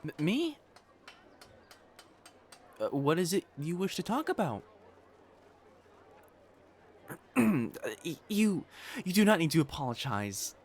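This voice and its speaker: A man's voice: on the high side, sing-song, unhurried, pauses often, breathy edge, exaggerated voice. A man playing a prim young matchmaker fiancé in a romantic audio roleplay, formal and earnest in speech, easily flustered. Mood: flustered, indignant. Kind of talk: intimate scripted romance roleplay acting.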